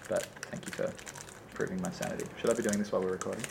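A woman's voice: rumbling low, steady tone, rapid-fire, creaky, noisy background. A woman co-hosting a casual podcast, playful and giggly, riffing on silly jokes. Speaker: podcast co-host. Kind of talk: casual chat.

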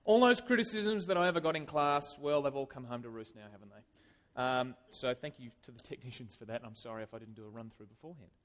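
A man preaching a conversational sermon in a church, chatty and self-deprecating, with lively swings of emphasis. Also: mid-range voice, quick pace, hardly any pauses.

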